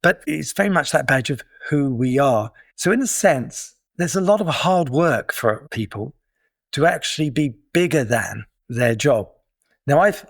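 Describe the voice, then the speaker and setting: A man's voice: mid-range voice, vocal fry, husky, no room sound, studio quality. A middle-aged man with a British accent, a guest explaining his ideas in a thoughtful way, with small restarts. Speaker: guest, digital detox coach. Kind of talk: casual interview talk.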